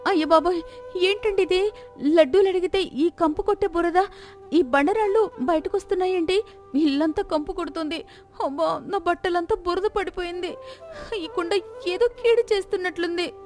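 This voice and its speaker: A woman's voice: very high voice, moderate pace, a little mellow, soft background music, pitched above the performer's own voice. A woman voicing a village wife in a moral tale, scolding and lamenting dramatically. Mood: frightened, disgusted. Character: alarmed, scolding village wife of a greedy man.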